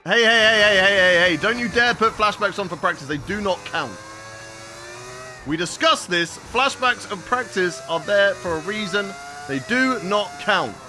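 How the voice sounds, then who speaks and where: A man's voice: sits high, normal speed, noisy background. A young man casually chatting with viewers while he plays a racing game on a live stream.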